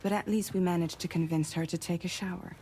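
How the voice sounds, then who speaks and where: A woman playing a patient, caring figure in a video game cutscene, speaking gently. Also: fairly low pitch, steady tone, quick pace, a little hiss or hum, lightly acted. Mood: gently concerned. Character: patient caring woman looking after a newcomer. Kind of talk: scripted game cutscene dialogue.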